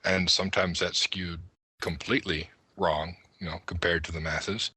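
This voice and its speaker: A man with a very deep, rough-edged voice discusses things casually as a panelist. He explains and argues with small asides, in a forceful, cutting tone. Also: steady tone, normal speed, dry recording. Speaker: podcast panelist.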